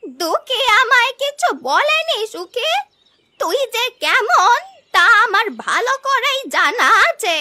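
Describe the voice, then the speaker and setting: A woman voicing a chiding, folksy old village grandmother in an animated folk tale. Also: extremely high pitch, slow, full character voice. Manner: chiding, folksy, proverb-quoting. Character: scolding old village grandmother who begs for food.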